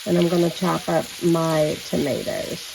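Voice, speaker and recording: A woman, chatting casually to viewers while cooking at home, her voice slightly hoarse and her talk rambling with many ums. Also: deep voice, level tone, measured pace, background noise. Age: 40s. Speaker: home vlogger. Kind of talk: casual catch-up and cooking chat.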